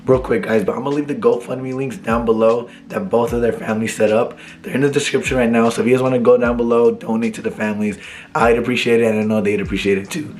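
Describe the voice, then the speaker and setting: A young man vlogging casually to his viewers, slangy and relaxed, sick and losing his voice. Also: mid-range voice, quick pace, background noise. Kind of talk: casual vlog talk to camera.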